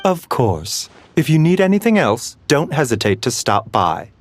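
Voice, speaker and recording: A man playing a courteous, gentle physician in a video game, with a measured way of talking. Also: medium pitch, very animated, soft background music. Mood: calm, courteous. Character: Doctor Baizhu, gentle, unhurried, courteous male physician.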